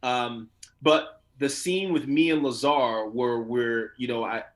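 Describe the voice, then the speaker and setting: A man who works as an actor, talking casually and colloquially as an interview guest, telling stories in an animated way. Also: medium pitch, takes it slowly. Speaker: voice and motion capture actor being interviewed.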